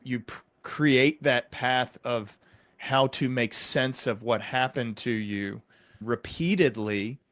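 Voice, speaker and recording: A man hosts a conversational interview in a medium-pitched voice. He explains things reflectively, with a measured, encouraging delivery. The sound is very dry, with hardly any room in it. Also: fairly bright tone, dry recording. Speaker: podcast host interviewing.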